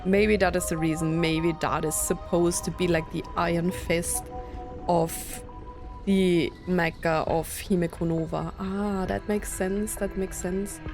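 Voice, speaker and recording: A woman's voice: lowish voice, flat delivery, a little slow, gravelly. A woman doing let's play commentary, thinking aloud in disbelief and reading game text out. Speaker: gaming streamer. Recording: game music behind the voice. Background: game music and game dialogue.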